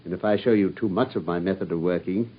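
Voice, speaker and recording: A man's voice: sits low, fast talker. An actor playing a brilliant, self-assured Victorian detective who explains his deductions in a confident, lecturing manner, in a radio drama. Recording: dull lo-fi radio sound.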